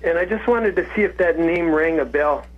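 A man's voice: fairly high pitch, a little fast. A man of sixty calling in to a radio show, chatting in a rambling way and laughing often. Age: 60s. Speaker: caller. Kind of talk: casual call-in chat. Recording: muffled phone line.

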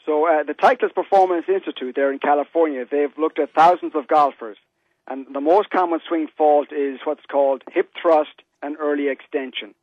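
A man from Ireland, a company founder explaining golf posture as a relaxed radio guest. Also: on the high side, normal speed, dry recording, muffled recording. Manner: relaxed, explanatory, says "actually" often. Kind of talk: interview by phone.

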